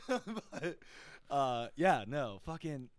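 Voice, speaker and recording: A man's voice: medium pitch, dry recording. A man in a casual podcast chat who keeps asking curious questions, swears freely and laughs easily.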